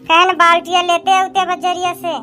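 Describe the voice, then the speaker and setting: Nagging young Awadhi village wife, cartoon comedy, female performer. Very high, piercing bright voice, hard-working and aggrieved, over music. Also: little pitch movement, moderate pace. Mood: chatty. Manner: complaining, scolding.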